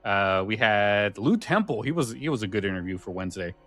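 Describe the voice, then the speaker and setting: A man's voice: lowish voice, big pitch swings, fairly quick, fairly bright tone, soft background music. A man chatting casually as a podcast host, rambling and a little hesitant. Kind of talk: casual chat.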